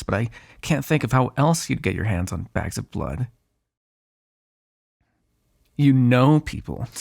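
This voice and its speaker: A man voices a snarky male vampire in an ASMR roleplay monologue. His mid-pitched voice has plenty of gravelly fry and swings animatedly as he grumbles. He comes across as sardonic and exasperated, talking as if to one companion. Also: a little mellow. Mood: teasing, skeptical.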